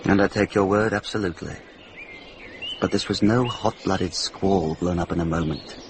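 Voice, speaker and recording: A man's voice: low-pitched, normal speed, noisy background. An actor playing a stern, honour-bound English lord and lawman in a radio drama, speaking firmly. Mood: stern, grieved. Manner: firm, interrogating.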